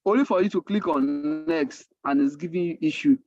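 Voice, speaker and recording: A man with a Nigerian accent and Yoruba as his native language, mentoring a class in an informal, halting way, repeating himself and asking rhetorical questions. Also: on the high side, a little mellow, dry recording, highs cut off. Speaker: coding mentor. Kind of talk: informal online teaching.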